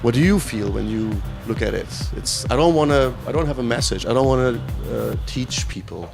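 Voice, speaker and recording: Guy who grew up in germany, painter, halting and searchy, gravelly voice. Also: mid-range voice, animated, darkish tone, faint music behind. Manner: halting, searching for words, rhetorical "right?". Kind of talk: casual interview.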